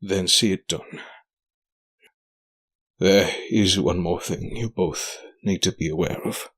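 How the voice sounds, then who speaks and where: A man playing a weary, dignified older king and gentle father in an audio drama, formal and measured. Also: sits low, exaggerated voice.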